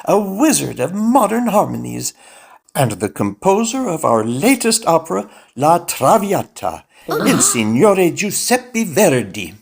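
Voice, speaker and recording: Man acting pompous master of ceremonies, florid audio drama delivery, animated pitch, creaky edge. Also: measured pace, heavily acted. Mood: ceremonious. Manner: florid, declamatory announcing.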